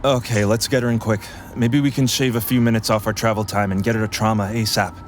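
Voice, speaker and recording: A weary male paramedic, played by an actor in an audio drama, speaking in a lowish voice. He is gruff, sardonic and fed up, yet dedicated, with clear vehicle noise around him. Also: fairly even intonation, fast, lightly acted. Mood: urgent. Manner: sardonic, exasperated, swearing. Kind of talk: audio drama acting with internal narration. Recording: clear vehicle ambience behind the voice. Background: ambulance and traffic sound effects.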